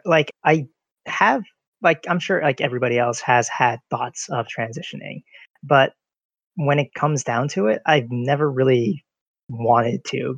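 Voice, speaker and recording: Male guest, relaxed storyteller, recorded on dull, dry lo-fi audio. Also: medium pitch, vocal fry, no room sound. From United States. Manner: relaxed storyteller, many "like" fillers. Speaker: podcast guest. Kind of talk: casual conversation.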